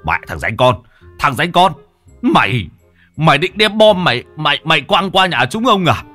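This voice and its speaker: A man's voice: a little high, very animated, normal speed, bright, forceful tone, soft background music, pitched above the performer's own voice, heavily acted. A man doing a raging, stammering villager. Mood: furious. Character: furious village relatives, threatening a culprit.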